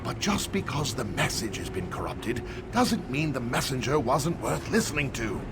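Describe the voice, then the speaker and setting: A man playing an earnest fantasy game townsman, talking in a measured, articulate, conspiratorial manner. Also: medium pitch, very animated, background noise. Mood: earnest, urgent. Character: earnest male fantasy townsman confiding a secret. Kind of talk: AI-voiced fantasy game dialogue.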